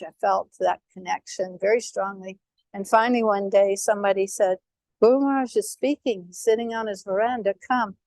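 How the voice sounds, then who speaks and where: Gravelly, earnest woman telling a story. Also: mid-range voice, darkish tone, no echo, rough recording. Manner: earnest, unhurried, pausing with fillers. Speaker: devotee speaker at a memorial gathering. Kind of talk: personal spiritual testimony.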